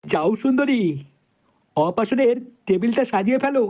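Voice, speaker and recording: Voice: medium pitch, animated, normal speed, darkish tone, lightly acted. A performer voicing a crude, bad-tempered, lecherous male surgeon in a comedy cartoon dub. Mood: sly, lecherous. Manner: foul-mouthed, blustering.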